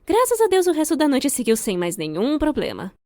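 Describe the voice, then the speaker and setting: A woman's voice: sits high, huge pitch swings, fairly quick, a little mellow, no room sound, studio quality. A young adult woman with a Brazilian accent giving scripted, comedic storytime narration in a theatrical, wry way. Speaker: storyteller, fan-dub voice actor.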